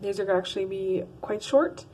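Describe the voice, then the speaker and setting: A woman's voice: medium pitch, fairly quick, dark tone, poor audio. A woman giving a casual one-card tarot reading to her followers, rambling with many ums and restarts. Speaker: tarot reader. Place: in her car.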